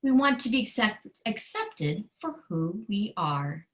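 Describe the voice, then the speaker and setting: A woman who is a children's author, reading aloud and explaining in a deliberate, earnest way with simple phrasing. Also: mid-range voice, very animated, a bit bright. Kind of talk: storybook read-aloud and explanation.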